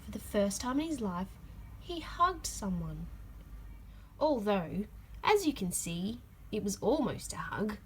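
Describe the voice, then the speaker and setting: A woman reading a children's picture book aloud, with expressive emphasis and drawn-out key words. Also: mid-range voice, a little hiss or hum, rough recording. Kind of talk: read-aloud storytelling for children.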